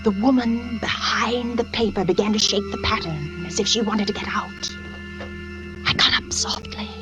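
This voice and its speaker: An actress playing a nervous, fragile young wife sinking into madness, intense and confiding in the style of old American radio suspense. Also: female voice, mid-range voice, natural voice. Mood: frightened, breathless. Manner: intense, anguished, confiding, dramatic. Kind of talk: 1940s-50s American radio suspense acting.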